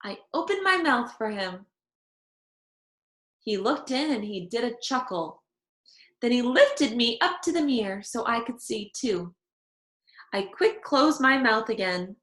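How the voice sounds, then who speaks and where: A woman reading a children's story aloud in expressive narration, phrasing it emphatically for young listeners. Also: on the high side, echoey room.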